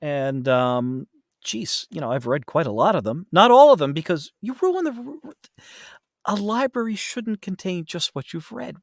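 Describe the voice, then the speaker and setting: Male podcast guest, lively swooping pitch, casual and rambling, self-deprecating, close dry sound. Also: moderate pace, no room sound. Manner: rambling, self-deprecating, often trailing off mid-thought. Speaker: podcast guest, audiobook narrator.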